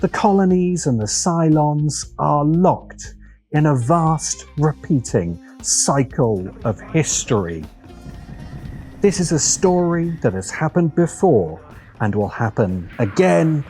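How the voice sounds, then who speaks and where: A man narrating a scripted video essay as host, in a deliberate, wry, opinionated manner with dramatic pauses and emphasis. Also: on the high side, expressive, dark tone, soft background music.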